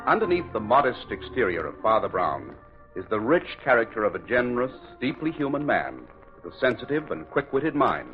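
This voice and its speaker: Man, radio drama announcer, theatrical, formal, animated intonation, organ behind, dull vintage recording. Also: mid-range voice, brisk. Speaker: announcer and narrator. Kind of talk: old-time radio drama scripted narration. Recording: scratchy narrow-band vintage radio recording. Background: organ music.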